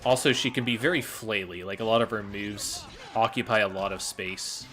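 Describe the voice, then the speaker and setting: A man's voice: lowish voice, moderate pace, pushed and bright. A man giving a casual gameplay commentary as a speedrunner explaining his play.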